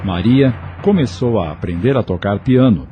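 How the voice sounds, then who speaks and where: Male Brazilian narrator, lowish voice, lively intonation, scripted storytelling over faint music. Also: moderate pace, dull audio. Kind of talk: scripted audio novel narration.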